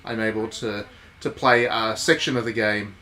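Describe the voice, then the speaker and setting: A man's voice: fairly low pitch, fairly even intonation, moderate pace, bright, forceful tone. A man giving chatty, jokey livestream commentary on a game, talking to his viewers. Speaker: game streamer, reviewer. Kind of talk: casual livestream commentary, reading game text aloud.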